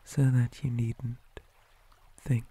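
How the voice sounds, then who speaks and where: A man voicing a possessive, menacing spider monster captor in an ASMR roleplay, mostly in a breathy whisper with deliberate, theatrical menace. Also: mid-range voice, little pitch movement, dark tone. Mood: possessive, threatening.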